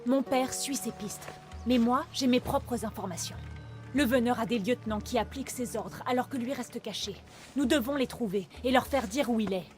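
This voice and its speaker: A woman plays a determined young huntress from a video game. Her voice sits fairly high and she speaks with earnest urgency. Faint music runs behind her. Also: moderate pace, lightly acted. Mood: serious, resolute. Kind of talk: dubbed video game dialogue.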